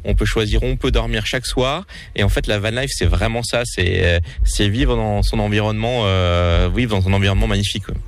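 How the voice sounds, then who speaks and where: A man with a low voice answers questions as a guest filmmaker. He explains earnestly and often restarts mid-sentence to find the right words. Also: brisk, few pauses.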